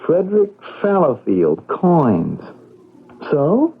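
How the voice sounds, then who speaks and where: Casting: a man as an idle, hopeful would-be writer in a radio play; lively swinging pitch, defensive manner, narrow vintage sound. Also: slow pace, creaky, breathy edge, heavily acted. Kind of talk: radio drama acting. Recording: muffled, narrow-band old radio recording.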